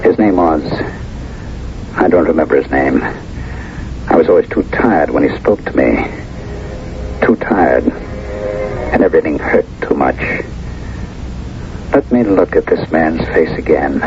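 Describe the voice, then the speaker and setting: An actor playing a troubled, earnest war veteran in love in a 1970s radio drama, speaking hesitantly. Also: male voice, very deep voice, expressive, a little slow, pauses often, music in the background. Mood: haunted, brooding. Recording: dull, noisy old radio recording.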